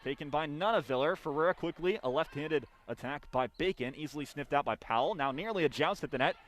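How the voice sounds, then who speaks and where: A man's voice: fairly high pitch, quick pace. A young man doing live radio play-by-play of volleyball, with punchy, clipped calls that swell on big plays. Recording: background noise of a sports arena. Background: arena ambience.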